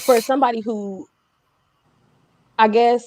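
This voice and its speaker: A woman's voice: a little high, a little slow, a little hiss or hum, low-quality recording. A woman hosting a casual online interview, with a playful, teasing way of telling stories and asking questions. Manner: playful, teasing, animated storytelling. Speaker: host interviewer. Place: remote online video call.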